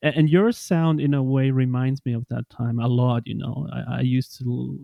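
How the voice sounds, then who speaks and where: A man in his forties hosting a podcast, speaking English as a second language, enthusiastic and halting with frequent restarts. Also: medium pitch, steady tone, gravelly, no room sound, highs cut off. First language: not English. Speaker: podcast host. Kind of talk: casual interview chat.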